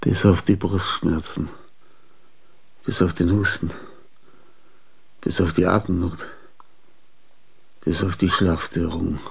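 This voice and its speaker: Kranker, misstrauischer alter Künstler, heiser. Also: male voice, low voice, flat delivery, slow, creaky, long pauses, husky, exaggerated voice. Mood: sardonic. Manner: theatrical, swinging from hushed whispers to sudden outbursts. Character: ailing, suspicious, self-aggrandizing older male artist. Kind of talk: radio drama acting.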